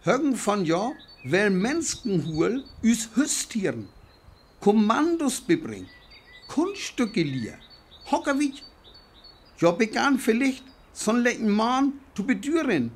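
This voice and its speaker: A man's voice: sits high, very animated, slow, a little hiss or hum, exaggerated voice. An actor with a North Frisian accent playing a bitter, agitated survivor in a radio play, rambling intensely about his schemes. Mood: grim, insistent.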